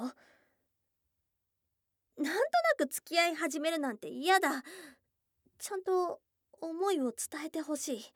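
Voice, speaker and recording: High, slow, bashful goblin girl played by a woman. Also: no room sound, studio quality. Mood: flustered. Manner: tomboyish casual speech, bashful, affectionate. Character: shy, blunt young goblin girl newly in love. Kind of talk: Japanese ASMR situation voice drama, intimate. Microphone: close dry studio mic.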